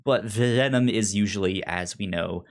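A man's voice: fairly low pitch, normal speed. A man co-hosting a casual podcast discussion, stammering and repeating syllables as he works through his thoughts. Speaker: pop culture podcast co-host.

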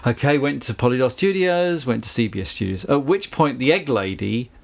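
A middle-aged man speaks with a Southern English accent, unhurried and conversational. He tells long stories in an interview, wanders into asides, and keeps an easy, anecdotal flow at a middling pitch. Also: expressive, creaky. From England. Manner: anecdotal, chatty, with frequent asides and laughs. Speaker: guest, recording engineer and producer. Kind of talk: relaxed interview storytelling.

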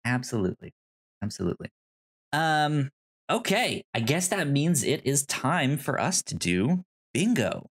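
A man co-hosting a podcast in casual movie chat, with a halting, hesitant delivery. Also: fairly high pitch, very animated, slightly rough. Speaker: podcast co-host.

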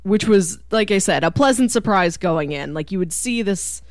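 A woman's voice: medium pitch, expressive, normal speed, no echo, clean studio sound. A woman co-hosting a podcast, talking casually about a film and animatedly voicing what people might be thinking. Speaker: podcast co-host. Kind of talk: casual film discussion chat.

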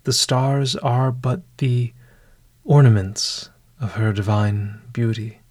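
A man narrating a scripted bedtime meditation as a podcast host, in a hushed, deliberate manner with long pauses. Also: medium pitch, dry recording.

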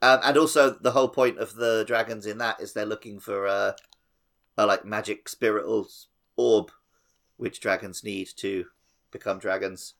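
A man's voice: on the low side, fairly even intonation, normal speed, vocal fry, a bit bright. A man co-hosting a podcast, explaining things in a casual, rambling way. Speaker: podcast co-host. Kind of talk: casual podcast discussion explaining mythology and games.

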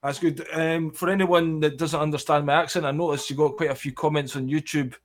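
Scottish male host, excitable fast patter, casual interview over a call. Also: a little high. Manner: excitable, talks fast, says wee. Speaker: podcast host.

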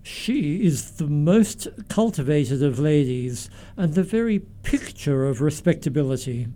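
Man acting a mellow, pompous country clergyman. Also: on the high side, animated, unhurried. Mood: devoted, fussy. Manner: pedantic, unctuous, formal. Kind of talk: stage comedy radio acting.

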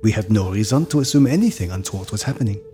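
A man's voice: on the low side, expressive, racing, music in the background, close to an everyday voice. A man acting in an audio drama as a reflective grown son recounting family history. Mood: reflective, measured. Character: reflective grown son recounting his parents' troubled marriage.

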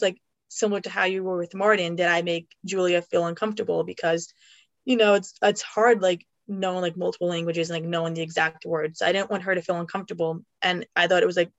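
A woman's voice: on the low side, level tone, fast, no room sound, lo-fi sound. A young woman, an education student, telling stories in a chatty way with frequent fillers. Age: young adult. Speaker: education student, podcast co-host. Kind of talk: casual storytelling.

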